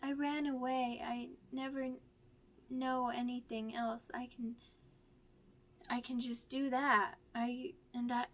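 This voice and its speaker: A woman playing a shy, grieving, vulnerable young werewolf pup in an ASMR audio roleplay, with halting, stammering, childlike speech. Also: fairly high pitch, flat delivery. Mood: grieving, shaken.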